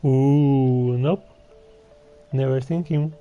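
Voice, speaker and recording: A man's voice: medium pitch, steady tone, extremely slow, warm tone. A laid-back man doing casual video game playthrough commentary and reading out dialogue, on a muffled mic with faint game music behind. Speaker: gamer playing through a video game.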